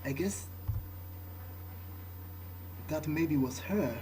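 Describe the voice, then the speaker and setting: A man thinking aloud in character during a game playthrough, musing and halting as if talking to himself. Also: fairly high pitch, noisy background. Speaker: gameplay video player.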